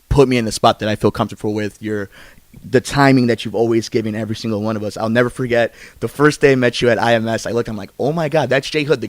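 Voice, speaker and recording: A man's voice: medium pitch, no room sound. A man with an American accent hosts a casual podcast, speaking in an earnest, effusive way. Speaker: podcast host and interviewer. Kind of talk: casual podcast interview and sign-off.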